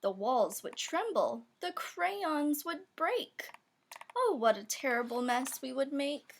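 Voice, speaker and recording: A high-voiced woman reads a children's picture book in rhyme. She takes it slowly, with big swoops in pitch and playful, expressive emphasis on the sound words. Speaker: storybook reader. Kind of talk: children's picture book read-aloud, rhyming verse.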